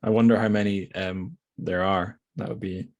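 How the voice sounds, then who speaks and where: A British man with a low-pitched voice hosts a casual interview. He rambles tentatively, often qualifying himself in a self-deprecating way. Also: fairly even intonation, moderate pace, darkish tone, muffled recording. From United Kingdom. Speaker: podcast host, interviewer.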